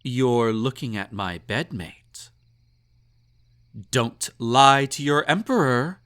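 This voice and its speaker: A man's voice: mid-range voice, expressive, slow pace, a bit bright. A man playing a snobby, possessive emperor in an intimate romantic audio roleplay, indulgent and menacing, with a haughty, purring, condescending delivery. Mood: jealous, commanding.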